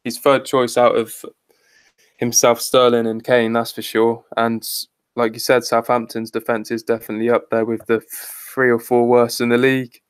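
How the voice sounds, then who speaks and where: Flat-toned, blunt man chatting football. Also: medium pitch, moderate pace, clean tone, dry recording. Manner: blunt, sweary. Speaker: fantasy football podcast co-host. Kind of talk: casual football chat.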